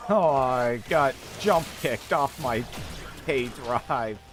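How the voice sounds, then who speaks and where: A man streaming a game and rambling casually, thinking aloud in a halting way as he plays. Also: mid-range voice, expressive, over music. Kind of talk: casual rambling commentary while gaming.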